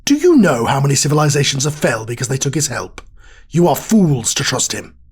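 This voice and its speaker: An actor playing a weary, stern old warrior mentor in an audio drama, solemn and deliberate, with a raspy voice. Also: male voice, medium pitch, big pitch swings. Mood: scolding. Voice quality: husky, raspy.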